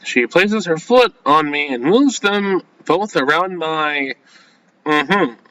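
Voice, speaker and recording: A man hosting a gaming video, reading a visual novel aloud and breaking off into flustered, halting, slangy reactions. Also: a little high, expressive, fairly bright tone, low-quality recording. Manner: flustered, halting, self-censoring with casual slang.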